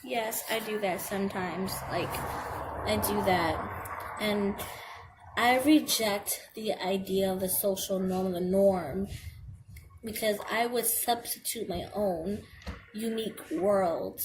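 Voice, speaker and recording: A young woman with a girlish voice reads a checklist aloud and comments on it hesitantly, stumbling over words and filling pauses. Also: mid-range voice, poor audio. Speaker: vlogger. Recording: home video with clear background noise.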